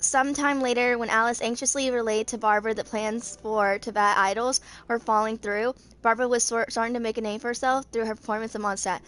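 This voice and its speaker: Young woman haltingly reading aloud. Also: fairly high pitch, fairly even intonation, a bit bright. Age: 20s. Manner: halting read-aloud with stumbles and misreadings. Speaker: amateur video creator reading game character lore. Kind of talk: reading story text aloud.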